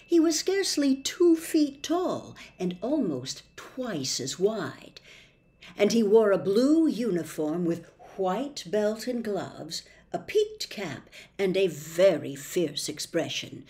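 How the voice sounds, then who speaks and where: A woman narrates a children's story in a deliberate, dramatic style. Her pace is slow, and her middle-pitched voice rises and falls widely as she tells it. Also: big pitch swings, darkish tone. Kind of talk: expressive scripted narration of a children's classic.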